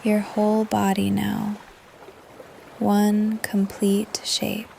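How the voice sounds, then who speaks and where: A woman leading a guided meditation in a soft, calm, breathy voice that often trails into a whisper, with gentle pauses. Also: mid-range voice, a little hiss or hum. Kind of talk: guided meditation, yoga nidra narration. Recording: ocean surf under the voice. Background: ocean waves ambience.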